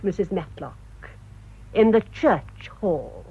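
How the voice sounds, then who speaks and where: An actress playing a proud, bitter older English spinster in a radio drama, with indignant, cutting rhetoric. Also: female voice, on the low side, sing-song, takes it slowly, gravelly, husky, muffled recording, heavily acted. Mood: menacing. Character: bitter, proud spinster nursing old family grudges.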